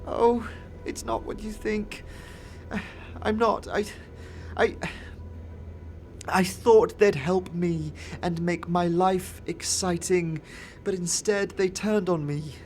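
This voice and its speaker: Stammering, anxious kid for an audio drama, played by an adult man, high-voiced and halting. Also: a little mellow, a little hiss or hum. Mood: dejected, ashamed. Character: nervous stammering boy, confessing and pleading.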